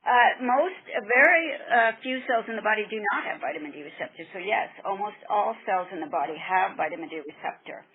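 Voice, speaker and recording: A woman researcher gives careful, technical explanations as an interview guest. Her mid-pitched voice comes over a narrowband telephone line that sounds muffled and radio-like. She speaks in a measured, explanatory style. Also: expressive, slight background noise.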